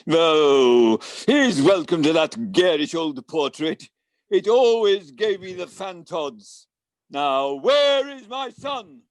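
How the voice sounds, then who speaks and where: A grumpy, imperious pantomime villain, played by a man, speaks in a high male voice. The delivery is slow, theatrical and exasperated, as from someone rich and used to being obeyed. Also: lively intonation. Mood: grudging, exasperated. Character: grumpy, impatient wealthy villain parent seeking a missing son. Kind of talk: amateur pantomime acting.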